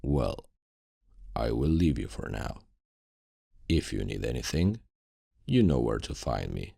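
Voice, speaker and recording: A man voicing an old, grey founder of a fantasy thieves' guild, calm and commanding, with a gravelly, husky voice and a measured, formal, fatherly manner in an ASMR roleplay. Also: very deep voice, dry recording, clean studio sound, voice pushed down, full character voice. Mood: grave, businesslike.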